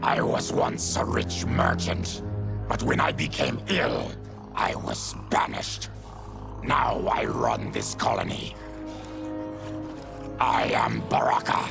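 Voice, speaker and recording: An actor playing a proud, embittered disfigured mutant leader with a gravelly growl in a video game cutscene. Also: male voice, fairly low pitch, wildly swooping pitch, takes it slowly, long pauses, over music, heavily acted. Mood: grave, guarded.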